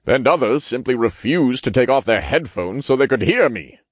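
A man's voice: mid-range voice, expressive, moderate pace, husky, dry recording, studio quality. A man voicing a weary, apologetic male archangel with a sighing, fussy way of complaining, in a fantasy audiobook. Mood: exasperated.

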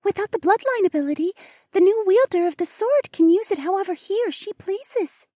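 A man's voice: very high-pitched, animated, a little fast, mellow, no echo, clean studio sound, pitched above the performer's own voice. A man voicing an earnest, knowledgeable female attendant who advises her lord in a whispery, deferential way in a fantasy audiobook. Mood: earnest warning.

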